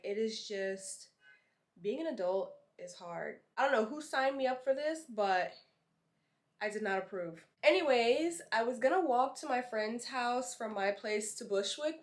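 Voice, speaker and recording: Chatty young woman with a stuffy nose. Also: a little high. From North Carolina. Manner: chatty, upbeat, frequent "um" and "like". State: congested, slightly nasal from a cold. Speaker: model and vlogger. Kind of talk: casual vlog chat to camera. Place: her apartment and city streets. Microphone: handheld compact camera.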